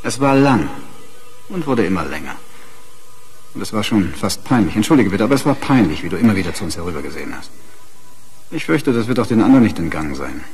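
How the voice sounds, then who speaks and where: Condescending husband, animated delivery. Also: male voice, lowish voice, fast, pauses often, faint music behind. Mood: needling, smug. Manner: pompous, needling, self-righteous. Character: condescending, accusing husband and father, middle-aged. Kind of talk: naturalistic radio drama acting.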